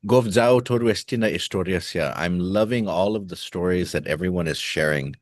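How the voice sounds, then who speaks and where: A man's voice: sits low, moderate pace, dry recording, clean studio sound. A man hosting an online language class, friendly and joking, mixing in Chamoru phrases. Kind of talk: casual video call hosting. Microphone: video call.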